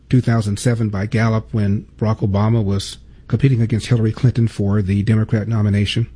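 A man's voice: fairly low pitch, dark tone, slightly rough. A man hosting a talk show, delivering an emphatic opinion monologue full of repeated points and rhetorical questions.

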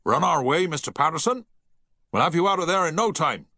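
A man's voice: fairly high pitch, lively intonation, fairly quick, pushed and bright, dry recording, clean studio sound, pitched above the performer's own voice, heavily acted. A man voicing a commanding ship captain under fire who barks his orders, his voice raised well above his own.

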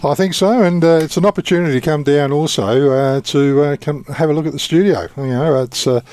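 A man's voice: a little high, fairly quick, a little mellow, no echo. A man hosting community radio, chatting casually and joking, with frequent uhs, at a charity morning tea. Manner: jokey, chuckling, hesitant with many uhs. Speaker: radio presenter. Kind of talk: casual on-air chat at a community event. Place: outdoor community event.

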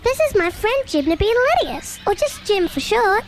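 A woman doing a squeaky little koala boy. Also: sing-song, slight background noise, exaggerated voice. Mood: pleading, eager. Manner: squeaky and slow.

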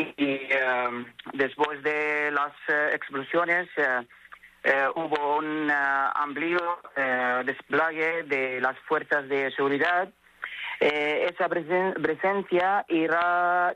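A man's voice: on the high side, sharp tone, clean tone, no echo, rough recording. A man giving a live news report as a correspondent, hesitating very often over a remote line. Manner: halting delivery with very frequent hesitation. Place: remote link into a radio studio.

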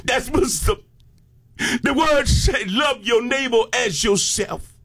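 High-pitched, slow, impassioned American male preacher. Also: fairly bright tone, breathy edge. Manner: impassioned, exclaiming praise between phrases. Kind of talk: fervent sermon with call and response.

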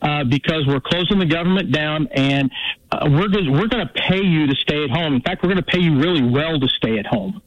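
A man in his sixties calling into a radio show, opinionated and halting, with many restarts. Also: a little high, dry recording. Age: 60s. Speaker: caller. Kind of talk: call-in radio. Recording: dull dry phone-line call.

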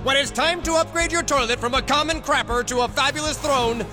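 A man's voice: extremely high pitch, a little fast, over music. A man playing a grandly earnest plumber hero in a mock-epic comic radio ad. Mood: grandly heroic. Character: heroic male plumber champion, grandly earnest.

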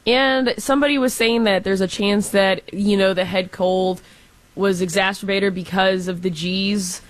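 A woman's voice: medium pitch, fairly even intonation, unhurried, dry recording. A woman co-hosting a radio show, talking in an earnest, chatty way and hedging her points. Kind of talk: casual talk radio chat.

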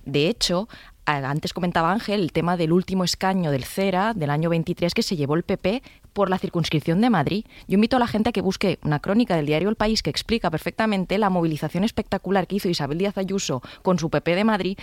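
Woman, radio commentator, rapid, dry studio sound. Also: fairly low pitch, dry recording. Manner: fast, forceful argumentation. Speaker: political commentator. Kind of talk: radio panel discussion. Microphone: studio microphone.

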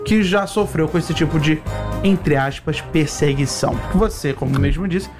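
High-pitched emphatic male radio host. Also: normal speed, over music. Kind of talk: animated live talk show banter. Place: radio studio with live video stream.

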